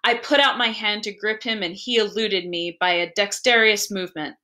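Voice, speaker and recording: A woman reading a literary story aloud with expressive, dramatic and deliberate phrasing. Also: medium pitch.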